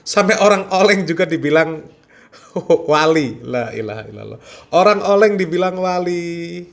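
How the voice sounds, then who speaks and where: A man's voice: on the high side, animated, moderate pace, creaky, fairly bright tone. A man hosting a channel, giving casual religious commentary to viewers in a mocking, amused way.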